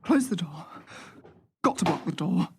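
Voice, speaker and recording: A woman's voice: on the low side, lively intonation, a little slow, gravelly, very noisy. A woman playing a skeptical, sardonic assistant hotel manager from London in a radio play, sometimes hesitant when flustered. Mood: panicked. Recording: music behind the voice.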